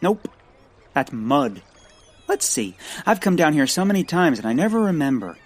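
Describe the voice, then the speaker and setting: Earnest nerdy male bird lover, over-polite and easily flustered, lively swooping delivery, for an audio comedy. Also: a little high, normal speed, soft background music. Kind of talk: comic audio drama acting.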